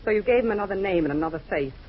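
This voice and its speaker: A vengeful jilted woman played by an actress, insistent and accusing, with big swings in pitch. It is old radio thriller acting on a muffled, slightly noisy recording. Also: animated, racing, natural voice. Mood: cold, determined. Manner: accusing, intense, melodramatic.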